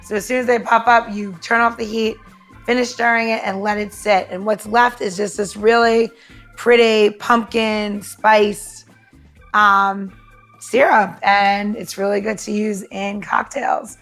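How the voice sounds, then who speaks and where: A woman's voice: medium pitch, level tone, unhurried, fairly bright tone, faint music behind. A woman from New York chatting casually and jokingly as a podcast co-host, explaining recipes in an informal way.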